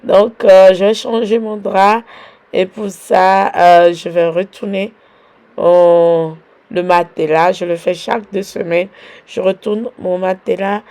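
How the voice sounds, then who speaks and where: Young Beninese mom vlogger with a cold: warm, dark tone, gravelly edges, talks slowly. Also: female voice, mid-range voice, fairly even intonation. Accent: Beninese French. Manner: unhurried, chatty, fills pauses with "voilà". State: has a cold and sore throat. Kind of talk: casual home vlog narration.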